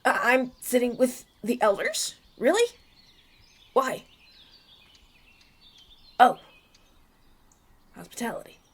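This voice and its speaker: A man's voice: very high voice, sing-song, slow pace, pauses often, a bit bright, heavily acted. A man playing a polite, anxious young runaway prince in an audio roleplay, stammering and hesitant as he talks. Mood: puzzled, flustered. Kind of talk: ASMR audio roleplay, acted one-sided dialogue.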